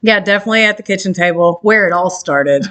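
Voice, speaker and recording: A woman co-hosting a casual podcast at a kitchen table, with a slight rasp and vocal fry, chatting easily and laughing often. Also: fairly low pitch, quick pace, bright, forceful tone. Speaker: podcast co-host. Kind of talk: casual chat.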